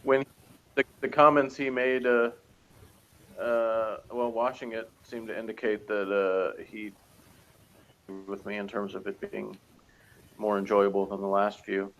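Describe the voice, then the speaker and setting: A man chatting casually as a co-reviewer, halting and often trailing off mid-thought. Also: lowish voice, little pitch movement, fairly bright tone, highs cut off. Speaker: co-reviewer of a TV episode. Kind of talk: casual chat.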